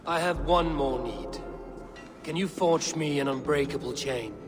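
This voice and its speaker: An actor playing a cunning, commanding Norse high god in a video game, grave, terse and formal in manner. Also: male voice, measured pace, close to an everyday voice. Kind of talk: video game cutscene acting. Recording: long hall reverb, music behind.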